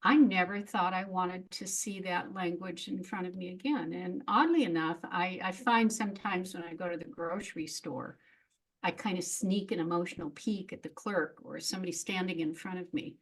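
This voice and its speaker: A middle-aged woman with a fairly low voice talks casually as an interview guest. She is frank and reflective and laughs at herself now and then. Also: normal speed, slightly rough, poor audio. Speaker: memoirist guest.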